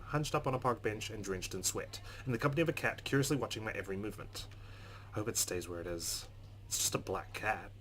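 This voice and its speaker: A man doing a let's play, reading a game's narration aloud in an expressive, measured, dramatic way. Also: on the low side, a little hiss or hum. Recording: game music under the voice.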